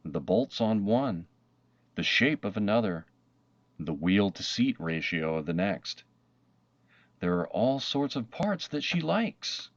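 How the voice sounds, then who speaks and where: A man narrating a children's picture book aloud, with expressive, dramatic emphasis and deliberate pauses. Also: fairly low pitch, no room sound, muffled recording. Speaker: storybook narrator.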